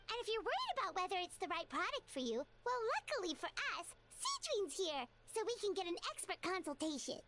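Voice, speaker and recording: A woman voicing a small, excitable childlike fairy companion in an English video game dub, chatty and exclaiming, with a squeaky voice. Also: background noise. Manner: speaks of self in third person, exclaiming.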